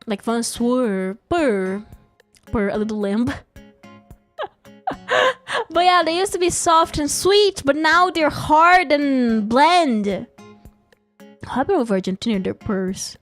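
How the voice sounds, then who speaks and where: Woman, high voice, playful rambling chat, frequent giggles. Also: animated, slow pace, long pauses. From Brazil. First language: Portuguese. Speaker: streamer. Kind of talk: casual live-stream chat. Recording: faint music behind.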